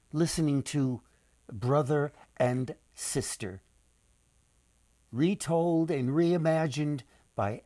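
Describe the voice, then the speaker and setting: An older man tells stories to children as their author. He speaks slowly and deliberately, with theatrical pauses, in a dry, close recording. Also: a little high, dark tone, dry recording. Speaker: author and storyteller. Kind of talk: storytelling read-aloud for children.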